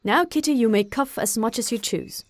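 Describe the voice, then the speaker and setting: A woman voicing a dry, teasing middle-aged father in an audiobook, measured and mock-solemn. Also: a little high, natural voice. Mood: wry, sly. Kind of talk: audiobook character acting.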